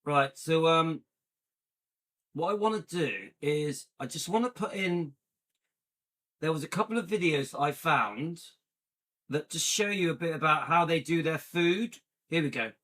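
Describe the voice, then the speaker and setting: Give me a man with a slightly high voice rambling casually to his viewers. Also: lively intonation, unhurried, long pauses, a bit bright. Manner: rambling, chatty, addresses viewers as guys. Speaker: vlog host. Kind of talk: casual livestream chat while browsing videos.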